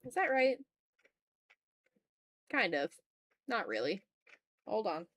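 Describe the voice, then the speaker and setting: A woman's voice: mid-range voice, sing-song, slow, fairly bright tone, dry recording. Casual woman chatting on an art livestream, dry sound. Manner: informal, muttering asides to self. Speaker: art streamer. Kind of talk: casual livestream chat while drawing.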